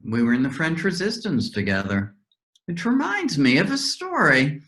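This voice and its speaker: A woman's voice: low-pitched, big pitch swings, moderate pace, fairly bright tone. A woman playing a talkative, droll character recovering from amnesia in a staged play reading. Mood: chatty, reminiscing. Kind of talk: virtual readers theater play reading.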